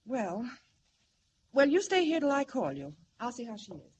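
An actress playing a fussy, proper but obliging hospital nurse in a 1940s radio serial. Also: female voice, a little high, sing-song, moderate pace, darkish tone. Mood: flustered, firm. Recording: old radio serial with faint noise.

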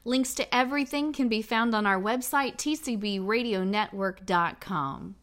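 A woman's voice: a little high, moderate pace, fairly bright tone. A woman podcast host reading a scripted promotional announcement. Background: faint music.

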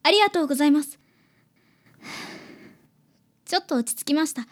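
A woman's voice: high voice, lively intonation, brisk. A woman playing a young, devoted elder sister as a polite, sincere client in a radio drama. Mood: anxious.

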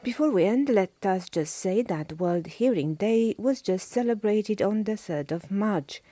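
A woman presenting a radio feature, reading a script in an even, measured way. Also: mid-range voice, faint music behind.

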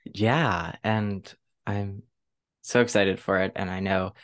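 A woman hosting a casual interview podcast, speaking with gushing, excited enthusiasm. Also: a bass voice, slow pace. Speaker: podcast host.

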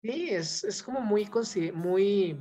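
A woman's voice: mid-range voice, expressive, takes it slowly, dark tone, faint music behind. A Mexican woman hosting a talk show, giving her opinions in an informal, discursive way and often checking for agreement.

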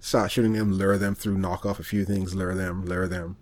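A man co-hosting a podcast, chatting casually about video games and explaining things in an informal, halting way. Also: low-pitched, flat delivery, darkish tone. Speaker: podcast co-host.

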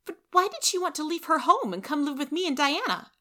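A woman's voice: high-pitched, very animated, fairly quick, pushed and bright, pitched above the performer's own voice, full character voice. A woman voicing a dramatic, indignant and impulsive young girl from a storybook, with exclamatory, emphatic delivery. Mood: annoyed.

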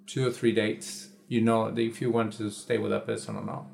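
Low gravelly man musing aloud. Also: flat delivery, a little mellow, slight background noise. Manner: halting, restarts and repeats words while thinking aloud. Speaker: podcast co-host. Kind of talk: casual chat.

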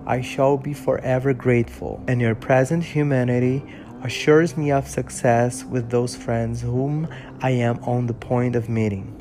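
A man's voice: mid-range voice, fairly even intonation, a little slow, darkish tone, a little hiss or hum, close to an everyday voice. A man voicing a lonely, shunned male creature who speaks fervently and imploringly in a storybook reading. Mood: grateful.

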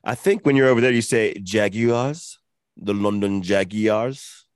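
A man's voice: fairly low pitch, a little slow, dry recording, clean studio sound. A man co-hosting a sports betting show in loose, profane, joking banter. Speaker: podcast co-host. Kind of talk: casual sports betting chat.

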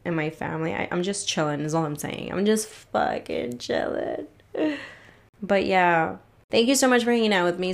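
A woman's voice: lowish voice, vocal fry. A woman hosting a casual solo podcast, ranting in a frustrated, rambling way and swearing freely, a little congested from allergies. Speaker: podcast host.

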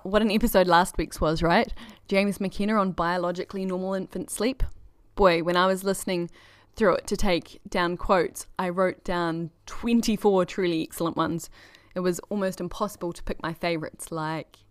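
Woman, lowish voice, chatty, enthusiastic, podcast host intro, very dry recording. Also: dry recording.

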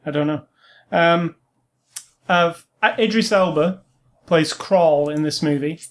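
A man with a slightly high-pitched voice talks as the co-host of a film review. His delivery is casual and informal, and he states his opinions bluntly. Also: fairly even intonation, slow pace.

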